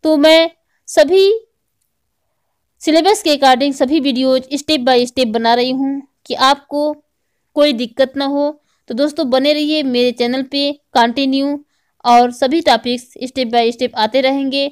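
A woman's voice: high voice, fairly even intonation, takes it slowly. A woman narrating a lesson as a teacher, explaining step by step and repeating key points. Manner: didactic, repeats key points as questions and answers. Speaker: teacher, online exam-prep educator. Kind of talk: scripted lesson narration over slides.

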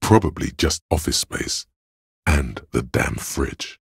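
An actor playing a detective's inner voice of logic in a video game, a grave, analytical man with a gravelly rasp and a deliberate, dry, detached delivery. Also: a bass voice, lively intonation, no room sound, full character voice. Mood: cool, deducing.

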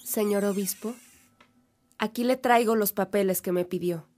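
A woman's voice: mid-range voice, moderate pace, background noise, close to an everyday voice. An actress playing a polite, respectful woman assisting a bishop in a radio drama. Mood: deferential.

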